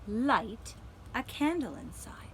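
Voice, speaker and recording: A woman's voice: on the high side, sing-song, slow pace, very noisy. A woman voicing a male villager in a puppet-show story, patiently instructing his neighbours step by step. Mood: patient, instructive.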